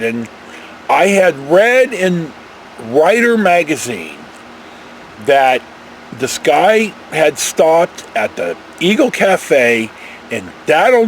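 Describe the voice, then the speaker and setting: Animated, high-pitched older American man storytelling. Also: a little hiss or hum, low-quality recording. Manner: folksy, meandering, animated emphasis. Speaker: vlogger telling personal travel stories. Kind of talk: casual rambling storytelling.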